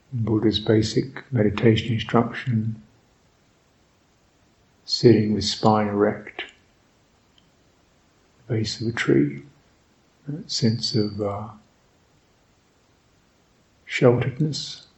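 A man guiding a meditation as a Buddhist teacher, speaking reflectively in short, halting phrases with long pauses. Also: lowish voice, fairly even intonation, lo-fi sound. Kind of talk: guided meditation, unscripted and reflective.

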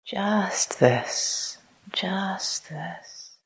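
A woman teaching a guided meditation, speaking softly and calmly in short repeated phrases, often close to a whisper. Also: medium pitch, very slow. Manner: soft, breathy, often near-whispered delivery. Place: meditation retreat talk.

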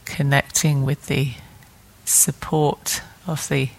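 A woman guides a meditation in a lowish-to-middle, very even voice with a creaky edge. She speaks very slowly and gently, setting down short phrases and leaving long pauses between them. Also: poor audio. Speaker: meditation teacher.

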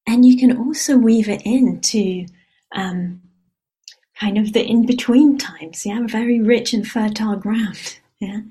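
A woman whose first language is Hebrew, a meditation teacher giving a gentle, unhurried dharma reflection and often checking in with a soft "yeah?". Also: a little high, breathy edge. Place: meditation retreat hall.

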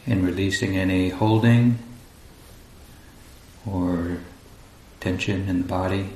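A man leading a guided meditation as a teacher, speaking calmly and unhurriedly with long pauses between phrases. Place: indoor room at a group retreat. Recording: roomy echo, faint low rumbling noise.

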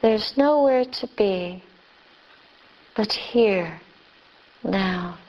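Very slow-speaking woman guiding meditation. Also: mid-range voice, highs cut off. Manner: gentle, unhurried, long pauses between short phrases. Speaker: Buddhist nun, meditation teacher. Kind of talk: guided meditation.

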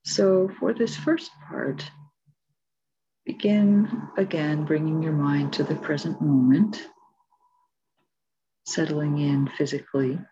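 A woman meditation teacher giving guided instructions in a soft, gentle, unhurried way. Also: low-pitched, a little hiss or hum. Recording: dull, muffled lo-fi recording.

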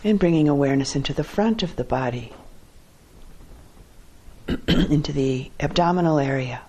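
A woman teaching guided meditation in a calm, measured way, with short phrases and long pauses between them. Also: low-pitched, slightly rough.

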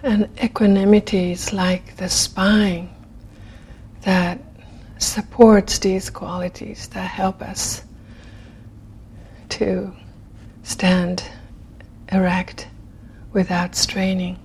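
A woman leading a guided meditation in a gentle, unhurried manner, leaving long pauses between phrases. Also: medium pitch, flat delivery, a little hiss or hum. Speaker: meditation teacher.

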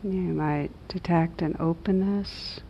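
A woman leading a guided meditation as a teacher, gentle and measured, with long pauses between reflective phrases. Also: fairly even intonation. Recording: dull muffled audio, low rumbling noise.

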